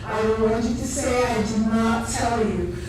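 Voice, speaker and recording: A woman with a Cameroonian accent gives a lively, joking conference talk at a higher pitch. Her voice sounds distant, in a very echoey room with clear background noise. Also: a little slow. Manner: lively and chatty, joking with the audience. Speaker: conference presenter. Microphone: handheld or stand microphone in a room.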